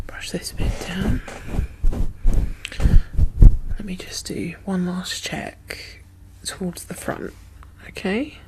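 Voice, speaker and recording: A woman doing a soft-spoken, whispered ASMR caregiver roleplay in a gentle, murmured, reassuring way. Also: medium pitch, low-quality recording.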